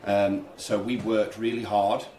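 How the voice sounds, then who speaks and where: A man's voice: on the low side, little pitch movement, a little slow, a little mellow, a little hiss or hum. A man who works as a primary school teacher, explaining things earnestly and conversationally in a radio interview. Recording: roomy echo, people chattering behind.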